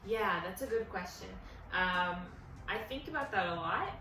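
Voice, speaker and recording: A woman's voice: mid-range voice, lively intonation, normal speed, sharp tone, slight background noise. A woman in her early twenties from Egypt and the United States, a native Arabic speaker, chatting informally as an interview guest. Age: early 20s. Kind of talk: casual conversational interview answers. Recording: echoey room, distant mic, music behind. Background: music.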